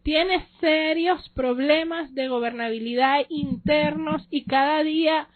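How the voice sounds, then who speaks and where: A woman's voice: high-pitched, slow, no echo. A woman hosting a political debate show, speaking in a pointed, emphatic way. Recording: dry tinny phone-like sound.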